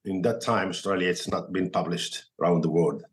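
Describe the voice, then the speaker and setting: Man, Moroccan accent, Arabic native speaker, very low voice, hesitant broken English, podcast guest. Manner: halting non-native English, many fillers and restarts. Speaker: guest chef. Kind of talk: casual podcast interview.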